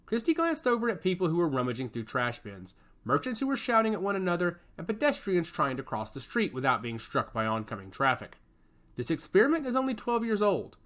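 Expressive male audiobook narrator. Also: fairly high pitch. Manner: expressive, storytelling cadence.

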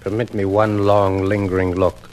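A man's voice: deep voice, little pitch movement, normal speed, darkish tone, natural voice. An actor playing an earnest, lovesick, meek man with flowery, theatrical speech in a 1950s radio drama. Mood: ardent. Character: florid, lovesick stranger, meek and pleading. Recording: noisy vintage 1950s radio recording.

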